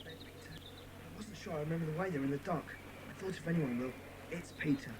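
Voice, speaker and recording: A woman playing an excitable, kindly, slightly nervous schoolboy in a children's audio drama, expressive and exclamatory. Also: low voice, moderate pace, natural voice. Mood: uneasy. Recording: clear background noise.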